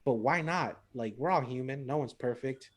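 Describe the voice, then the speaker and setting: A man's voice: medium pitch, moderate pace, a little hiss or hum. A man co-hosting a podcast in casual chat, rambling and repeating words, with Spanish phrases mixed in. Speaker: podcast co-host.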